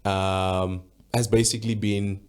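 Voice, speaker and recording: A man's voice: on the low side, flat delivery, a little slow, clear, resonant tone. A young man from South Africa co-hosting a casual talk show, chatty and laughing easily, often hesitating with ums. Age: young adult. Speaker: radio co-host. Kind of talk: casual studio chat.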